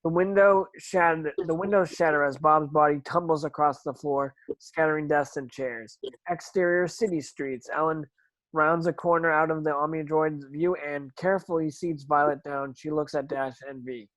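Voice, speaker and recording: A man's voice: on the high side, fairly even intonation, moderate pace, a bit bright, dry recording, rough recording. A man narrating screen action from a script as a stage directions reader, now and then stumbling over words.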